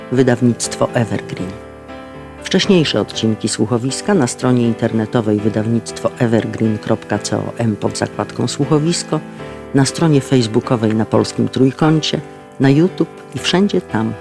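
A man's voice: mid-range voice, vocal fry. A man reading scripted credits and sponsor announcements as the announcer of an audio drama. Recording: guitar music behind the voice. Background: plucked guitar music.

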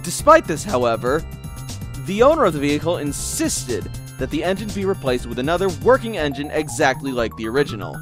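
A man's voice: fairly high pitch, big pitch swings, fairly quick. A man narrating a scripted audio drama in a wry storytelling manner. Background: music.

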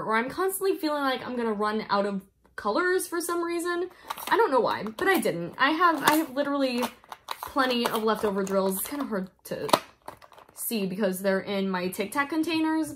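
A woman's voice: a little high, noisy background. A young woman casually chatting through a craft kit review, a little congested from a cold, rambling and giggling with many fillers. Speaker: diamond painting vlogger. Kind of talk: casual review chat, reading off notes. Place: indoors at home, filming down at a canvas.

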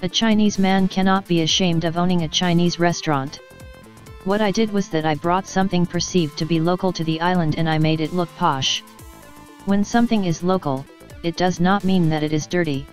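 A woman reading a celebrity news script in an even, flat manner. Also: lowish voice, brisk, faint music behind. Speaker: news narrator.

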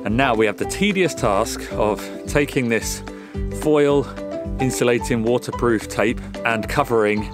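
A man's voice: mid-range voice, measured pace, over music. Male vlog host, thirties, mid-range voice, informal how-to talk recorded outside. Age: 30s. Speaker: vlog host renovating a house. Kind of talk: casual explaining to camera. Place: outdoors at a rural building site.

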